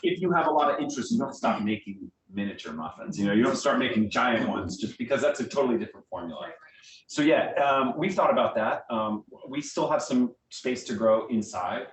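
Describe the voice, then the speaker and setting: A man's voice: expressive, brisk. An American man giving informal, conversational answers as a guest speaker at a small meeting. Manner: conversational, explanatory, uses everyday analogies. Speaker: guest speaker, theatre director. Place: indoor meeting room. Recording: echoey room, distant mic, faint rumbling noise.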